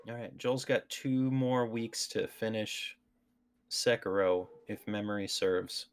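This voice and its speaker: A man's voice: on the low side, slow, clear, resonant tone. A man hosting a casual gaming podcast, chatting informally with his co-hosts. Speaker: podcast host.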